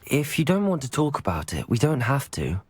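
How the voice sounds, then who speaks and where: A man's voice: mid-range voice, wildly swooping pitch, brisk, breathy edge. A considerate young student of magic from a fantasy game. He sounds earnest and polite, with very varied intonation, over background music. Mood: curious, caring. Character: supportive, brave young student at magic school. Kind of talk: video game cutscene acting.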